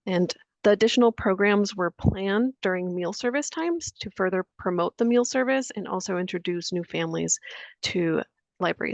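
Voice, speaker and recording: A woman on library staff presenting programming in a webinar with a clear, scripted delivery. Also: mid-range voice, dry recording.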